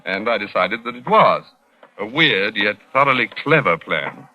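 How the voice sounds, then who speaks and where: An actor playing an ardent, self-assured Scotland Yard detective in 1940s radio suspense style, theatrical and stammering when flustered, on a vintage recording. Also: male voice, fairly low pitch, sing-song, moderate pace, pushed and bright, lo-fi sound, full character voice. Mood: wry, explanatory.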